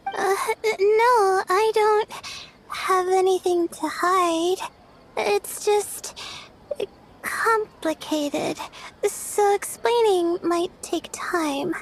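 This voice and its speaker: Layla, a shy stammering young scholar. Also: female voice, very high voice, drawn-out words, slight background noise, exaggerated voice, low-quality recording. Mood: timid. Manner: stammering, hesitant, sleepy. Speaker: student researcher. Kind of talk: video game cutscene voice acting.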